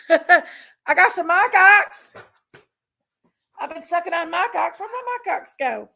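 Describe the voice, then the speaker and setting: A woman with a Southern American accent, raspy and congested from allergies, chatting casually on a livestream and reading out viewers' names. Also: very high voice, expressive, slow pace, bright, forceful tone. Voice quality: raspy, gravelly. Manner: drawling, reads out chat names. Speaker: livestream host.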